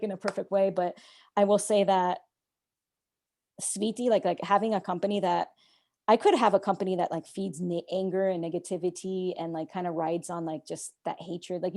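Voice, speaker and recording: A young woman whose first language is Czech, chatting as a podcast guest in a rambling, animated way with frequent laughter. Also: mid-range voice. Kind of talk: casual interview chat.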